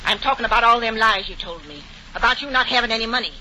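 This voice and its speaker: Sharp, forceful scolding landlady. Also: fairly high pitch, animated, fast talker. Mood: angry, accusing. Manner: sharp, accusatory, colloquial grammar. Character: gruff, scolding landlady demanding back rent. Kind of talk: 1940s radio acting. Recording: dull, lightly noisy vintage radio broadcast.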